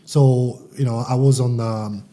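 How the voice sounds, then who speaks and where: A man's voice: mid-range voice, fairly even intonation, measured pace, dark tone. A man from Central Africa, raised in France, speaking candidly and reflectively as a guest, often restarting his sentences. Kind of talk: casual interview.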